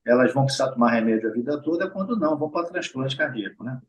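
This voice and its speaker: A middle-aged Brazilian man speaks as a doctor being interviewed, at a medium pitch and in a steady, explanatory manner. He keeps checking in with short tag questions and talks straight to the listeners. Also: racing, slight background noise. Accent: Brazilian Portuguese. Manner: explains patiently, often adds tag questions and addresses listeners directly. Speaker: heart surgeon guest. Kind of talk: explanatory conversational interview talk.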